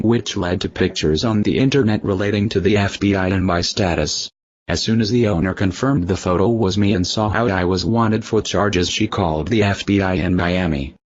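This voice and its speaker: A man's voice: deep voice, normal speed, muffled recording. A man giving scripted interview answers in a stiff, recited way as an unrepentant convict.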